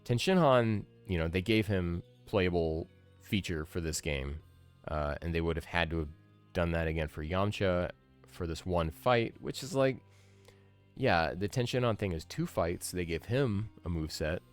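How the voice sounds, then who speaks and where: A man's voice: low-pitched, moderate pace. A man in his thirties giving casual game commentary as a streamer, with wry, grumbling asides. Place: small indoor room, livestream setup. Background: game music.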